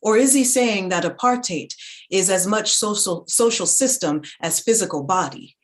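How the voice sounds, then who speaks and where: A woman giving a dramatic reading of a literary essay in a measured, reflective, articulate manner. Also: mid-range voice. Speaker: reader in a staged reading.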